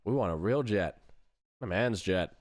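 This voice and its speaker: A man's voice: fairly low pitch, lively intonation, measured pace, noisy background. A middle-aged man casually thinking aloud to stream viewers as he works, muttering in short fragments. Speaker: sound designer live-streaming his editing work. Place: small room indoors.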